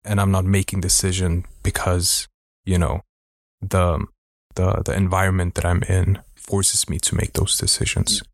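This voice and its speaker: Gravelly low-voiced man, reflective. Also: flat delivery, moderate pace, dry recording, clean studio sound. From Sweden. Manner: thoughtful, hesitant, many restarts and fillers. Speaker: guest. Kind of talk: reflective conversational interview.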